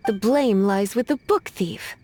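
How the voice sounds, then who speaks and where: An actress playing a languid, teasing adult librarian mage who scolds with playful menace. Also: female voice, medium pitch, measured pace, warm tone, lightly acted. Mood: menacing. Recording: game cutscene with faint music behind.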